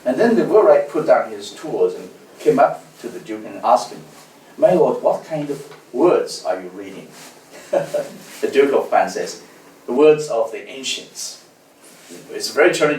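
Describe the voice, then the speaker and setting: A man gives a university lecture as a guest lecturer, telling stories in an animated way with many fillers and restarts. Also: medium pitch, slight background noise. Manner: lively storytelling, frequent fillers and restarts. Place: lecture hall. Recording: echoey hall, distant mic.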